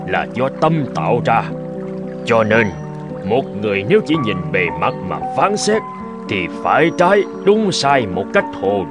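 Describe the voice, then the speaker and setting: A man plays a wise old hermit in a cartoon fable. He admonishes calmly and preaches in measured phrases with lively intonation, with prominent music behind him. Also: medium pitch, lightly acted. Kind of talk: dubbed cartoon moral tale.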